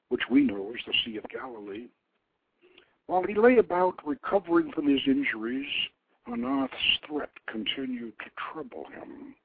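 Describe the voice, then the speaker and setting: A man reading his own legend story aloud as a radio host, in a measured, dramatic narration. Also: mid-range voice, a little hiss or hum. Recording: tinny phone-line radio recording.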